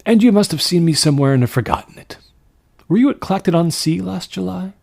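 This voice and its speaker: A man's voice: high voice, expressive, a little fast, creaky, darkish tone, dry recording, clean studio sound, pitched above the performer's own voice, heavily acted. A man playing an uneasy, polite artist in a horror story, speaking in a careful, hesitant way. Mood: questioning. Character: uneasy, polite male artist telling his own eerie tale.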